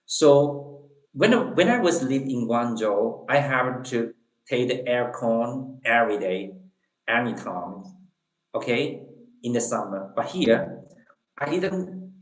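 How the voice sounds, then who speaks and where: Slow, halting man with Chinese accent. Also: medium pitch, expressive. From Eastern China. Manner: halting, repeats words, searches for English phrases. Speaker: livestream host. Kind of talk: casual livestream chat with viewers. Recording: echoey room.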